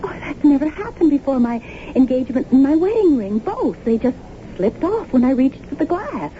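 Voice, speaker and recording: A woman's voice: high voice, sing-song, normal speed. A woman acting in a radio drama as an anxious, bewildered young wife, speaking hesitantly and uneasily. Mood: startled, puzzled. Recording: tinny, narrow-band, noisy old radio broadcast.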